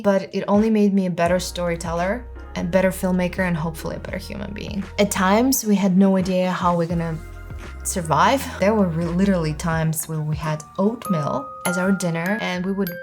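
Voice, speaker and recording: A woman in her thirties from Kazakhstan with a Kazakh accent, earnestly telling her own life story as a filmmaker. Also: fairly low pitch. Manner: earnest, reflective, lists in short phrases. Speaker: filmmaker vlogger. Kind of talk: personal storytelling to camera.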